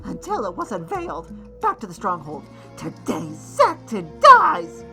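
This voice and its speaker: A bright, high-pitched villain henchman voiced by an actor in a cartoon fan dub. He is hot-tempered and sarcastic, hurling scornful lines with forceful edge over faint music. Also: huge pitch swings, measured pace, heavily acted. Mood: vengeful.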